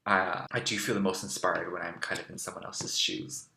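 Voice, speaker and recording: A young man from Taiwan, a guest musing thoughtfully through casual answers with soft fillers. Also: deep voice, fairly even intonation, normal speed, creaky, a little hiss or hum. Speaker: interview guest. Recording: roomy echo.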